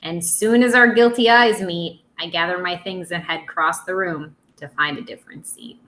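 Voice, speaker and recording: A woman teacher reading a picture book aloud to young children in an expressive, simple way. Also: lowish voice, moderate pace, echoey room, low-quality recording.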